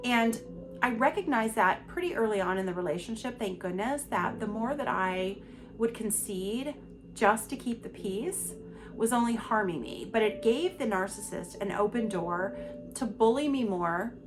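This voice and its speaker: A woman's voice: a little high, animated, moderate pace, music in the background. Stuffy-voiced woman, candid and emphatic. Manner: candid, emphatic, repeats words for stress. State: stuffy from a cold. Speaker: podcast host. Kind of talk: personal monologue on abuse recovery.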